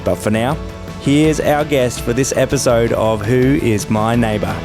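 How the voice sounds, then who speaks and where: A man's voice: medium pitch, fairly even intonation, measured pace, loud music behind the voice. Enthusiastic man hosting a podcast. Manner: enthusiastic, many restarts and fillers. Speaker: podcast host. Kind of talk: podcast interview. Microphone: headset.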